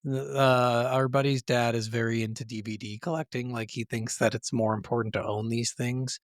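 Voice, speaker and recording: A man's voice: mid-range voice, little pitch movement, normal speed, dry recording, clean studio sound. A man co-hosting a podcast, chatting casually about video games in a blunt, opinionated way with frequent swearing. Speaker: podcast co-host.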